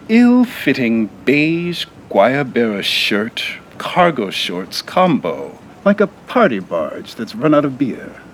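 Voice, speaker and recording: A man narrating a dark satirical audio drama as a solemn, wry, deliberate storyteller. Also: mid-range voice, slight background noise.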